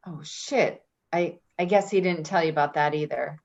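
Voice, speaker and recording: A woman's voice: low voice, normal speed, darkish tone. A woman playing a breezy, evasive, self-serving friend in an offhand, casual play reading. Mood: falsely consoling. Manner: offhand, giggly, hedging. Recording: dull lo-fi recording.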